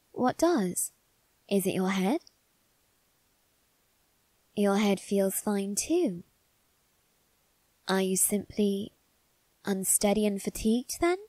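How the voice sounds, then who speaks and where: A woman's voice: medium pitch, no echo. A woman voicing a suave, doting young male vampire aristocrat in an ASMR roleplay, speaking to the listener in a genteel, coaxing, faintly sinister way. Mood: feigned concern. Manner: genteel, coaxing, softly condescending.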